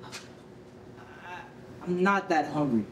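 An actor playing a shy, earnest young schoolboy with a hesitant way of talking in a staged reading. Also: male voice, lively intonation, drawn-out words, a bit bright, heavily acted. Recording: far from mic, faint rumbling noise.

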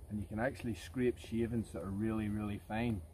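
Male bushcraft presenter, thirties, lowish voice, informal explainer, recorded outdoors with some ambient noise. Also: fairly even intonation, a little fast, warm tone, poor audio. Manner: informal, explanatory, addressing viewers as guys. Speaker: bushcraft vlogger. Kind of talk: casual outdoor tutorial talk to camera. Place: outdoors in woodland camp.

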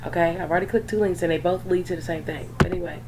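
A young woman with a voice worn hoarse from sickness, sitting lower in pitch than most. She rambles casually, full of fillers and side remarks. A faint hum of background noise sits under her home recording. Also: steady tone, very fast. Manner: chatty and rambling, many fillers and asides. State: hoarse from illness. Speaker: gaming YouTuber. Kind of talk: casual screen-recorded vlog chat.

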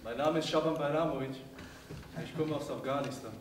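A man acting an earnest young male refugee contestant, halting and plain, on a theater stage. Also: on the high side, crowd noise, natural voice. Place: theater stage with live audience. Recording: distant echoey stage with music.

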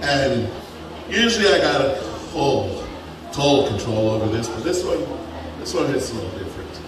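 A man's voice: mid-range voice, big pitch swings, normal speed. A man in his thirties giving a jokey, swearing wedding toast into a handheld mic in a big tent, now and then getting choked up. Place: large open-sided wedding tent. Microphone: handheld mic over speakers, filmed by a far phone. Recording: echoey PA sound from far off, noisy.

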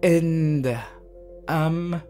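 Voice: medium pitch, fairly even intonation, extremely slow, mellow, soft background music. A performer voicing a small, cheerful male animal school principal, politely and formally making an announcement in an anime fan roleplay.

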